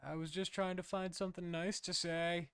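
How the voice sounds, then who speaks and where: A man's voice: high-pitched, level tone, moderate pace, clean tone, no room sound, voice pushed up. A man voicing a creepy, eager male coworker in a horror story, smug and unsettlingly pleasant. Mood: defensive.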